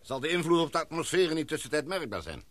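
An actor playing an older titled gentleman in a Dutch radio play, courteous, composed and used to taking command. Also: a little high, big pitch swings, fast, no room sound. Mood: composed, probing.

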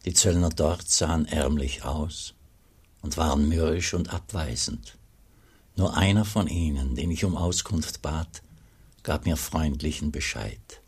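An actor playing a monk who tells his own story as narrator in a radio play, with a husky voice. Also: rumbling low, measured pace, full character voice. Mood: calm. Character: Father Eugen, older monk, looking back.

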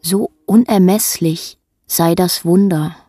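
Radio drama: oracular, stern woman, clipped declarative phrases, mellow dark tone, unhurried pacing. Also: on the low side, steady tone, slightly rough, soft background music. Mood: detached, grave. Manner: terse, declarative. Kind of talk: poetic radio play.

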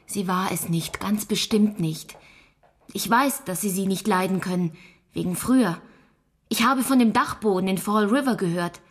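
Earnest teen girl played by an actress in a radio play, bit husky, polite but stubborn. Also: medium pitch, normal speed, natural voice. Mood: pleading, indignant. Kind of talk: German radio drama acting.

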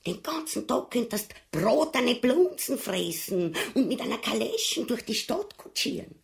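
An actress with an Austrian accent playing a coarse, scheming wife who mocks and wheedles in a farcical radio play. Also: female voice, on the high side, very animated, unhurried, full character voice. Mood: wheedling. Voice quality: creaky. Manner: mocking, wheedling, earthy. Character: coarse, scheming, nagging wife goading her foolish husband. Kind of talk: farcical radio play acting in broad dialect.

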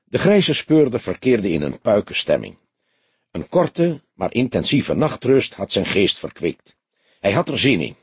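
A man giving an expressive scripted narration of a detective novel. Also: fairly low pitch, unhurried, slightly rough, dry recording. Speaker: audiobook narrator.

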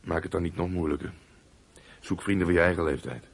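A stern policeman with a low, rough-edged husky voice. He is brisk and fatherly in a radio drama, admonishing like someone who gives out warnings. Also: steady tone, full character voice. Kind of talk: radio play acting.

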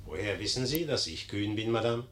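An actor in a 1950s German radio play playing a young Napoleon-like general, bold, mocking and cynical, declaiming theatrically. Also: male voice, fairly low pitch, animated, a little fast, close to an everyday voice. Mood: teasing, amused. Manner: theatrical, declamatory, swinging from hushed to emphatic.

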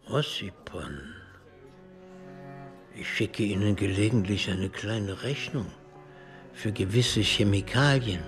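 A contemptuous professor of anarchy in a radio drama. His low, creaky voice moves very slowly, drawing out words and hissing the emphasis like a zealot of destruction. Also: a bit bright, full character voice. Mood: sly. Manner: scornful, declamatory, drawn-out words with hissed emphasis. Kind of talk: radio play acting.

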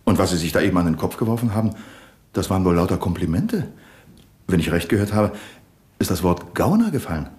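An actor playing a calm police inspector who asks measured, dryly probing questions in a 1970s radio drama. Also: male voice, low voice.

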